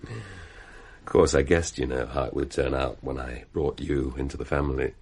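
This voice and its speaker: A man's voice: very deep voice, steady tone, moderate pace, vocal fry, darkish tone, slightly rough, full character voice. An actor playing a cynical middle-aged British husband and businessman in a radio play, mocking and insinuating in manner. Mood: smug, needling. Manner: mocking, insinuating, drawling rhetorical questions.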